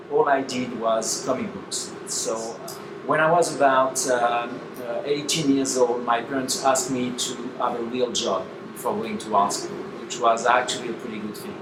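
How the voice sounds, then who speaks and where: A man's voice: mid-range voice, steady tone, normal speed. A man in his forties with a French accent, a painter telling his own story and searching for words as he goes. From France. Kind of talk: personal account and speech. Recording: echoey and noisy, from far off.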